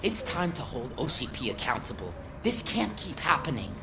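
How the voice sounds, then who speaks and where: An actress playing an outspoken, confrontational police officer in a video game, speaking with heavy emphasis. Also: female voice, a bass voice, very animated, normal speed, music in the background, exaggerated voice. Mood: angry.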